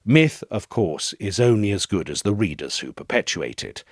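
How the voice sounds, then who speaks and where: A man narrating a literary essay from a script in a measured, articulate and expressive way. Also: lowish voice, dry recording, studio quality. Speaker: narrator reading an essay aloud.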